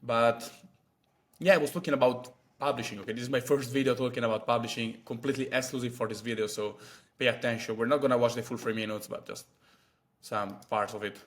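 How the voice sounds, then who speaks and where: Young man, chatty vlog talk, mid-pitched voice, filler-heavy. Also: steady tone, quick pace. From Italy. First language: Italian. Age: 20s. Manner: chatty, many fillers and asides. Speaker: vlogger. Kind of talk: casual talk to camera.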